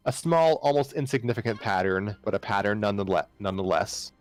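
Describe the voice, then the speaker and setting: A man with a low voice narrates a story in an informal, amateur read-aloud. He is prone to stumbling and repeating phrases, and music plays underneath. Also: lively intonation, fast talker.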